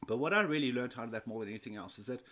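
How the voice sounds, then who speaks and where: A man's voice: medium pitch, expressive, rapid-fire, fairly bright tone. A man in his fifties from South Africa, telling his story as an interview guest, with many restarts and repeated words. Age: 50s. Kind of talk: conversational interview.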